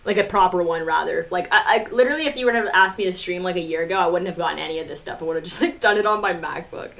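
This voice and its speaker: A woman's voice: fairly low pitch, racing, vocal fry, fairly bright tone. A woman live streamer talking casually to her viewers, rambling informally with lots of "like" and some mild swearing. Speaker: live streamer, music producer.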